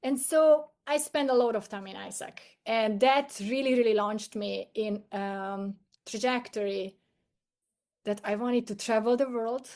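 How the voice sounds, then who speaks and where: A middle-aged woman with a fairly high voice and a Hungarian accent. She speaks as a podcast guest, sharing personal stories in a conversational, expressive way. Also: measured pace. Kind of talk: personal storytelling in an interview.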